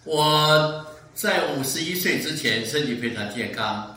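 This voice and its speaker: A man in his seventies from Taiwan, giving a church testimony in short measured sentences. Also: on the high side, animated, sharp tone. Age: 70s. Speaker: guest speaker giving a testimony. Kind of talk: church testimony in short phrases, paused for interpreting. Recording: echoey church hall, distant mic.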